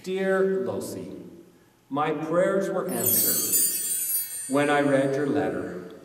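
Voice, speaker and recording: Man as gentle pioneer mother, echoey hall. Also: on the high side, animated, drawn-out words, soft background music. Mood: fond, pleased. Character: fond, devoted older pioneer mother. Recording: echoey hall, distant microphone.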